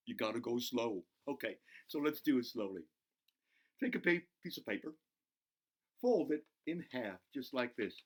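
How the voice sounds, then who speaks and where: A man voicing an excitable, goofy buzzard who hosts a children's show, playful and giggly as he talks to himself and to the kids. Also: high voice, full character voice. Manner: giggly, self-interrupting, talks to himself in third person. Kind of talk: playful craft demonstration for children.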